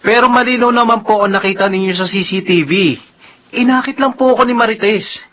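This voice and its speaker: A man's voice: high voice, fairly quick, bright, forceful tone. An actor playing a decent male office worker, flustered and protesting in exasperation, in a radio drama. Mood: indignant. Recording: dull, muffled radio drama audio.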